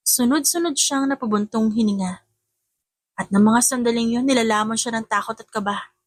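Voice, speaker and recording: A woman's voice: a little high, moderate pace, no echo, clean studio sound. A woman reading a romance audiobook as a dramatic scripted narrator.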